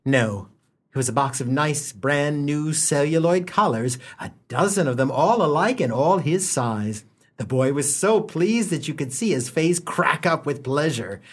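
A wry, mock-solemn humorist acted by a man narrates a comic radio play. The voice is a little rough and swings widely in pitch, leaning hard on key words with theatrical relish. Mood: wry, mock-delighted. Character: wry humorist narrator gently mocking a boy's gifts. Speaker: storytelling narrator.

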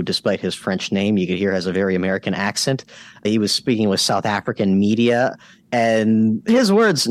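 A man's voice: on the low side, dry recording. A man hosting a news commentary show, speaking in an emphatic, argumentative way and giving his opinions from loose notes.

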